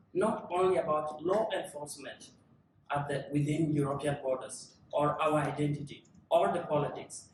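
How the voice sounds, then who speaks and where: A man in his twenties from South Sudan, giving an earnest, measured testimony as a panel speaker with deliberate pauses. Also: fairly high pitch, slight background noise. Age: 20s. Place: large conference room. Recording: echoey, distant conference room recording.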